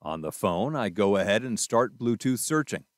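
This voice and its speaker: Male tutorial presenter, lowish register, dry sound, methodical step-by-step instructional read. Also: expressive, moderate pace, no echo. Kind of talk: scripted step-by-step tutorial narration.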